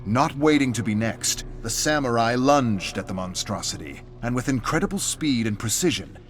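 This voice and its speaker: A man's voice: mid-range voice, lively intonation, moderate pace. A man reading scripted story narration in a dramatic style. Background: music.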